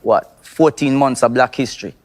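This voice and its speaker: A man's voice: medium pitch, normal speed. A man from Jamaica, arguing his point casually and insistently as a panel guest, often repeating himself. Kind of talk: casual debate.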